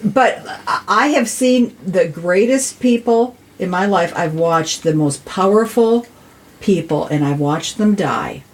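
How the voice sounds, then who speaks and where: An American woman of sixty speaks as a guest in a casual discussion. Her voice is fairly low, and she makes her points earnestly, with a touch of preaching. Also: slow pace. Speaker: podcast guest, city council member.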